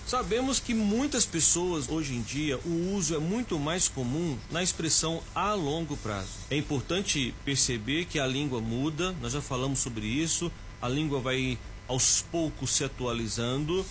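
A man teaches a short grammar lesson on the radio, explaining step by step and repeating the key word. His voice sits a little high, and a faint noise runs behind it. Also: lively intonation, moderate pace, hardly any pauses. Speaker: Portuguese teacher giving a radio language tip. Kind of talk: didactic radio segment.

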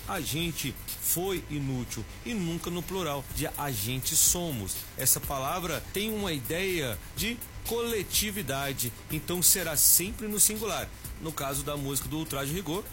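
A man presenting a short radio lesson on Portuguese grammar in a calm, explanatory teacher's tone. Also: a little high.

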